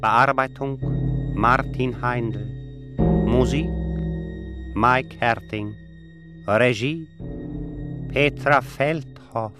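A man with a medium-pitched voice makes a solemn, scripted radio play announcement. He spaces out each phrase extremely slowly while loud music plays. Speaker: announcer of title and credits.

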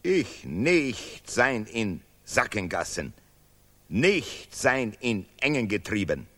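An actor playing a stubborn, contemptuous Nobel laureate scholar in a stylized radio play, declaiming broken German in emphatic, repeated phrases. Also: male voice, on the low side, big pitch swings, slow pace, a bit bright, in a large hall, heavily acted. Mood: dismissive. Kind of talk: stylized avant-garde radio play acting.

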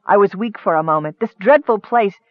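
A man's voice: sits high, fairly quick, no echo, close to an everyday voice. Guy doing an impassioned young noblewoman in armor, headstrong and anguished, fantasy audiobook, muffled dry sound. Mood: shaken, resolute. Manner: impassioned, urgent, breaking into cold resolve. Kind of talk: audiobook character acting. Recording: muffled, dull lo-fi recording.

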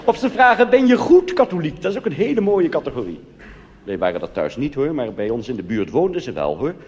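A man's voice: medium pitch, huge pitch swings, quick pace, darkish tone, slight background noise. A man performing a live comic monologue as a cabaret artist, conversational with wry asides, in a reverberant theatre. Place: theatre stage.

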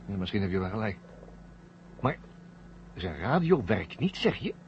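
Smug astronaut villain, humming recording. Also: male voice, fairly low pitch, very animated, normal speed, slight background noise. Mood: taunting. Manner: mocking, condescending, taunting. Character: Bradford, smug scornful traitor astronaut, adult man. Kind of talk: 1970 Dutch radio drama acting. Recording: muffled, humming 1970 radio recording.

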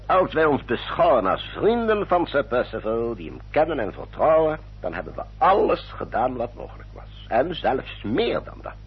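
A man playing an older, formal Victorian gentleman adviser in a Dutch radio drama, rough-voiced, deliberate and emphatic. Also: mid-range voice, big pitch swings. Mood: judicious, assured. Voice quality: husky, rough. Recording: dull, muffled old radio recording.

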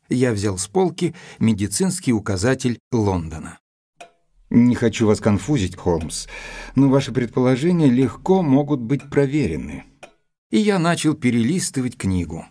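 An actor in an audio drama playing a courteous, earnest, mildly sceptical gentleman who speaks and narrates in a measured, literate way. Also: medium pitch, lightly acted.

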